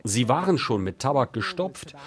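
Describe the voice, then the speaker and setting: A man's voice: mid-range voice, very animated, normal speed. A man reading scripted translations of interview quotes as a documentary voice-over.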